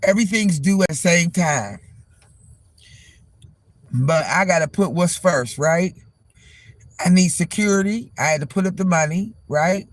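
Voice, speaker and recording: A man vlogging casually from his car, hoarse and losing his voice from a chest cold, talking in a rambling, profane, frank way. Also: high voice, a little slow, pauses often, no room sound. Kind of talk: casual vlog monologue. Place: parked car.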